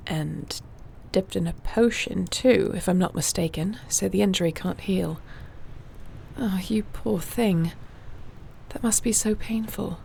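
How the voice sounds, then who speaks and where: A woman playing a gentle, wry warrior shifter with healing magic in an intimate fantasy audio roleplay, speaking softly and soothingly without hurry. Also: medium pitch, a little slow, vocal fry, a little hiss or hum. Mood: tender, concerned.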